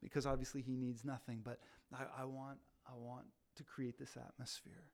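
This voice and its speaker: A man who leads a ministry, talking about faith in a loud, animated way, often repeating words as he restarts. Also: mid-range voice, normal speed. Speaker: ministry leader. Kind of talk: casual faith talk.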